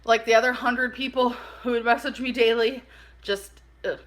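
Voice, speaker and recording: Girl doing a casual storytime vlog, kinda high super bright voice, chatty and animated, says like a lot. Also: normal speed. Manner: animated, informal, acts out dialogue, heavy use of "like". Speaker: vlogger telling a personal story.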